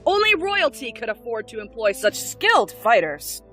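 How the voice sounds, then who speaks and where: A woman's voice: a little high, very animated, moderate pace, fairly bright tone. A woman acting a fierce, proud knight warden in an audio drama, bold and brash in her delivery. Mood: impressed. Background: music.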